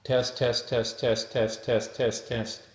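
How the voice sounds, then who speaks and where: A man demonstrates gear off the cuff, talking through it step by step. His voice sits at an ordinary male pitch, and the recording is noticeably roomy and echoing. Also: flat delivery, takes it slowly. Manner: step-by-step, explanatory. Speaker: product reviewer demonstrating helmet intercoms. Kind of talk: hands-on gear demonstration, unscripted.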